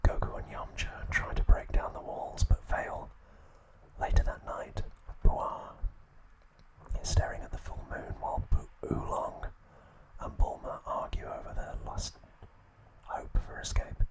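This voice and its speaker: A man narrating a recap as an ASMR host in a soft, unvoiced whisper, in short deliberate phrases. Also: low-quality recording.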